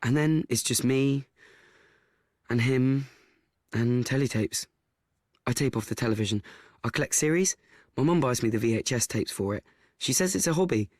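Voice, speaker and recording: A man playing an awkward, self-absorbed teenage boy in a radio play, speaking in a halting, confessional way. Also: mid-range voice, a little mellow, no room sound, clean studio sound, lightly acted. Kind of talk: first-person radio play monologue and dialogue.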